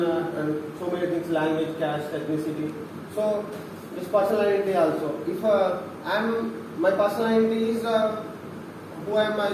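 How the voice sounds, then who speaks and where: A young man with a Telugu-Indian accent gives a halting seminar talk, full of restarts and fillers. His voice sits high for a man. He is picked up from far away in a very echoey, noisy room. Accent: Indian English, Telugu speaker. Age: young adult. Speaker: philosophy student presenting at a seminar.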